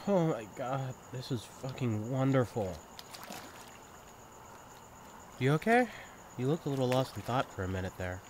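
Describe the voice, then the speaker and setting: A man's voice: mid-range voice, very animated, brisk, noisy background, lightly acted. A young man acting in an intimate audio roleplay as a sibling's best friend, talking candidly and casually in a caring, teasing way. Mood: relaxed, caring. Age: young adult. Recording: night ambience with chirping crickets. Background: crickets and insects, outdoor ambience.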